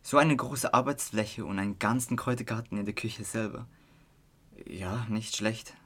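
A man's voice: on the low side, normal speed, a bit bright, breathy edge. A young man playing a curious, easygoing teenage boy in an amateur radio drama, talking casually in youth slang with some hesitancy. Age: young adult. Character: curious, easygoing teenage boy trying partying for the first time.

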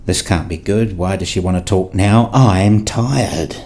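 A man's voice: sits low, unhurried, a little mellow, breathy edge, exaggerated voice. A man playing a weary, exasperated husband and father in a radio play, narrating and reasoning in a placating way. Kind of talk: radio play dialogue and first-person narration.

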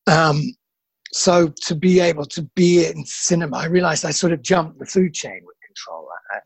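Husky man with gravelly creak. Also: a little high, dry recording. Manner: self-deprecating, halting with restarts. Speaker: film actor. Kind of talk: interview answers, reflective.

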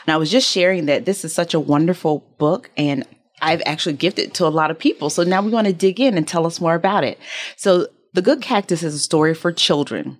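A woman's voice: on the low side, brisk. A woman hosting a casual podcast, introducing and questioning a guest with enthusiasm. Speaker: podcast host.

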